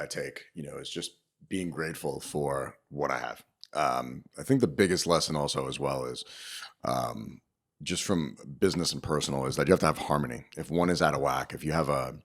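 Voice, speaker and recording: A man's voice: extremely low pitch, vocal fry. A man speaking emphatically and casually in an interview, giving opinionated advice with rhetorical check-ins. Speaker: interviewed business owner and show host.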